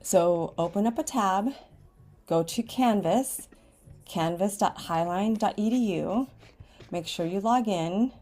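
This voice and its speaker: A woman's voice: medium pitch, slow pace, a little mellow, soft background music. American woman teacher giving step-by-step instructions. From Washington State, United States. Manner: simple instructional phrasing with checking okays. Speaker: ESOL writing teacher. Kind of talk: step-by-step screen tutorial for students. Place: indoors at a computer, screen recording with webcam.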